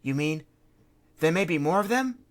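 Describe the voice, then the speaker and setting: A woman voicing a calm male guide on a ship who reassures the crew. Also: sits low, normal speed, pitched above the performer's own voice. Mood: reassuring.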